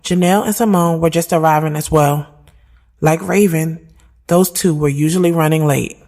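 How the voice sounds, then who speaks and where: A woman's voice: sits low, fairly even intonation, normal speed, a little mellow. A woman playing a sassy, candid thirty-year-old who tells her own story in slangy, conversational narration. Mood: wry, exasperated. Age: 30s. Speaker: narrator and lead of an audio drama series. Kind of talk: first-person storytelling narration, conversational urban drama.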